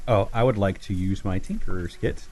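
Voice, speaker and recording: A man's voice: deep voice, moderate pace, mellow. A man talking casually at the game table as a player.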